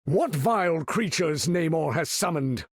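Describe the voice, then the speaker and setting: A man's voice: a little high, animated, measured pace, no echo, studio quality. A man voicing a proud, imperious older mutant master of magnetism in a video game, speaking in a grandiose, formal, commanding way. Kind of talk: theatrical game character voice lines.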